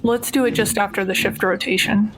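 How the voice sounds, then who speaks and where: A woman's voice: mid-range voice, steady tone, fairly quick, background noise, lightly acted. A woman voicing a cool professional thief in a tabletop game, with a dry, laconic manner. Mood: dry, unfazed. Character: cool, wry female professional thief for hire.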